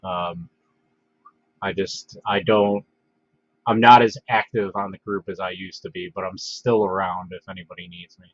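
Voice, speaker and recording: A man with a lowish voice chats casually to his livestream viewers, stuffed up with a head cold. He wanders through his thoughts with plenty of ums and restarts. The sound is dry and somewhat dull, like a basic home streaming setup. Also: no echo. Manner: relaxed, halting, many fillers and restarts. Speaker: livestream host.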